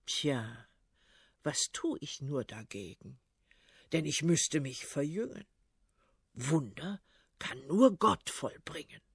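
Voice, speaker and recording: A woman's voice: low voice, animated, takes it slowly, vocal fry, long pauses, slightly rough, dry recording, studio quality, pitched below the performer's own voice, exaggerated voice. A woman voicing a vain, blustering old male tsar with a pompous, threatening manner. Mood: baffled.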